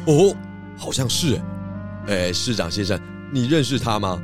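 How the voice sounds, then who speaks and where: A man voicing a sensible, food-loving pig detective in a children's radio drama, with a measured manner. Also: fairly low pitch, animated, over music, natural voice. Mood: observant, concerned.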